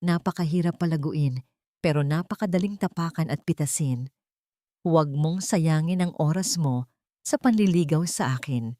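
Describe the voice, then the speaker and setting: Woman playing icy female consultant out for revenge. Audiobook melodrama, hushed, deliberate, lowish pitch. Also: dry recording, clean studio sound, natural voice. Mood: cold, restrained. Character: cold, vengeful woman consultant, quietly menacing.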